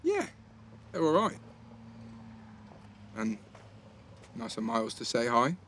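A man's voice: mid-range voice, wildly swooping pitch, takes it slowly, a bit bright, heavily acted. Teasing, chatty teenage boy, over traffic noise. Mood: chirpy, chatty. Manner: casual, slangy, teasing. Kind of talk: naturalistic audio drama acting.

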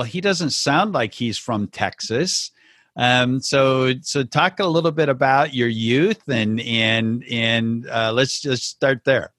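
A man's voice: mid-range voice, a bit bright, no room sound, clean studio sound. A man hosting an interview, affirming his guest and repeating words as he starts his sentences. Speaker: podcast host. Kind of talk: conversational interview.

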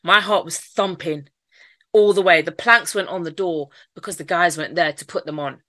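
A woman's voice: fairly low pitch, moderate pace, sharp tone, dry recording. A woman with a Mancunian accent, telling her life story candidly in an interview, repeating phrases as she goes. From Manchester, England. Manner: candid storytelling, frequent repeats, occasional laughs. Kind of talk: personal life story in conversation.

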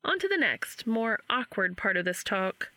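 A woman's voice: mid-range voice, sing-song, normal speed, sharp tone, dry recording. A woman voicing an upbeat, teasing young woman who runs a study, frank and patient in manner. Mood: upbeat, careful.

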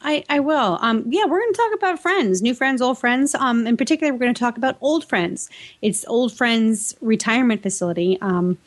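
A woman's voice: on the high side, lively intonation, fairly quick. Chatty American woman co-hosting a podcast. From New England, United States. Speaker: podcast co-host. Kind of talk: casual co-host banter and chat.

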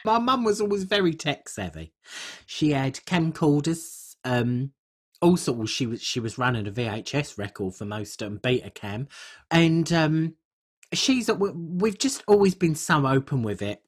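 A woman's voice: low-pitched, expressive, measured pace, breathy edge. A woman co-hosting a casual comedic podcast, chatting candidly and rambling from thought to thought. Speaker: podcast co-host.

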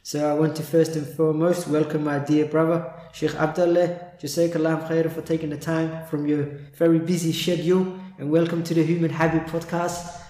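A man's voice: a little high, level tone, a little fast, warm tone. A man hosting a podcast interview, courteous and devout, sprinkling his speech with Arabic religious phrases. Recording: roomy, echoing room sound.

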